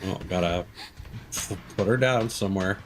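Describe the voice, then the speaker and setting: A man's voice: low-pitched, normal speed. A man streaming a video game with casual, reactive commentary, thinking aloud and swearing freely. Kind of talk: casual live gameplay commentary.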